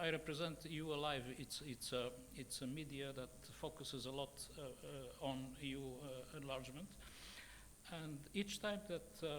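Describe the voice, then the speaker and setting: An older man, in his sixties, with a Bulgarian accent and a slightly high voice. He speaks unscripted at a conference panel, hesitating often with long fillers and repeated words. There is some background noise on the recording. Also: fairly bright tone. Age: 60s. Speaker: journalist and editor, conference panelist.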